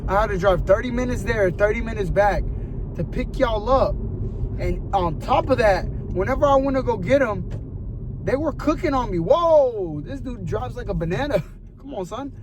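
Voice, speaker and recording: Young guy, high-ish voice, sniffly, loose joking car vlog with clear road noise behind. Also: normal speed. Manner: chatty, joking, rambling with lots of fillers. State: sniffly with a cold. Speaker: vlogger. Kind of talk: casual chat to viewers while driving. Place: inside a moving car at night. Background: steady car and road noise.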